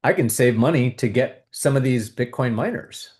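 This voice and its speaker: A man hosting a video, calmly guiding viewers through a tapping exercise with repeated phrases. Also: mid-range voice, moderate pace. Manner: calm, repetitive, coaching tone. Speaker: host leading a tapping exercise. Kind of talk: guided tapping exercise and money talk.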